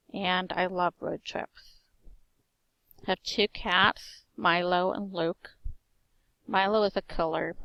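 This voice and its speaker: A woman's voice: medium pitch, gravelly, dry recording, dull audio. A woman in her forties, a teacher, introducing herself in a strong voice that carries, with a slight slur on her S sounds. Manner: lists things in short even phrases. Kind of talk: personal self-introduction monologue.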